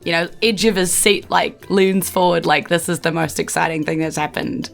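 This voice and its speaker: A woman's voice: on the low side, flat delivery, moderate pace, gravelly, soft background music. A woman with a New Zealand accent running a tabletop game as game master, narrating loosely with plenty of "like" and "sort of".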